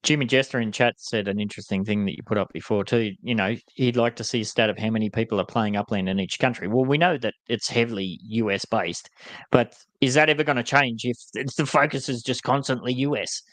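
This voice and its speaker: A man's voice: mid-range voice, brisk, a bit bright, dry recording. Grumbling Australian man, dry close mic. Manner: grumbling, questioning, uses slang. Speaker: podcast co-host. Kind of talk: casual chat.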